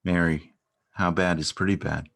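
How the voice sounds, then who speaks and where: A man's voice: sits low, level tone, normal speed, creaky, fairly bright tone. A man playing an anxious, caring partner of an ill woman in a dramatic stage reading, earnest and questioning. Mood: anxious, insistent.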